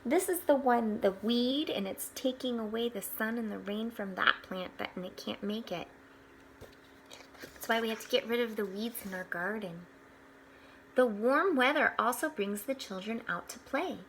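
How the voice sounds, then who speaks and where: A woman reading a picture book aloud to children, expressively, pausing for explanatory asides. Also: fairly high pitch, slight background noise, poor audio. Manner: expressive, with hushed asides pointing things out.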